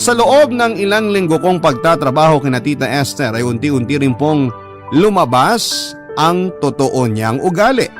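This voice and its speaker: A man reading a listener's heartfelt letter aloud as earnest, polite scripted narration. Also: medium pitch. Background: background music.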